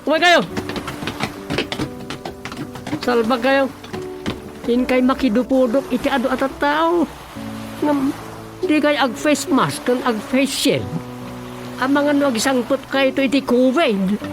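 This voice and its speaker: A man's voice: extremely high pitch, unhurried, music in the background. A man with an Ilocano accent playing a grumpy, stubborn councilman who grumbles and exclaims in a radio comedy drama. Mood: scolding. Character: grumpy, stubborn village councilman, comic lead.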